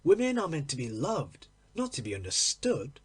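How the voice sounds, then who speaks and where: Man as witty storybook gentleman, suave, inquisitive, emphatic, husky, very lively intonation. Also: a little high, moderate pace, full character voice. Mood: teasing, curious. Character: urbane, witty, inquisitive gentleman, the narrator's own character.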